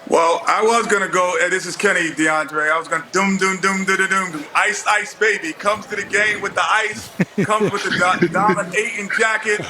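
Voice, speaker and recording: A man on a sports panel asking a question in a lively, playful way. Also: high-pitched, moderate pace, background noise.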